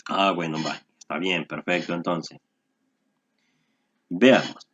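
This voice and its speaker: A man's voice: low voice, steady tone, slow, fairly bright tone, breathy edge, highs cut off. A man tutoring a statistics class online, explaining patiently and checking in with "¿verdad?". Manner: explanatory, often tags "¿verdad?".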